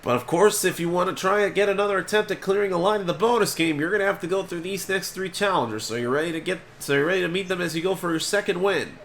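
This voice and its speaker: An upbeat, chatty male game-show host whose voice sounds congested from allergies. He talks in a fairly high register and clears his throat now and then. A faint noise hums underneath. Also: fast, few pauses, a bit bright. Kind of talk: homemade game-show hosting.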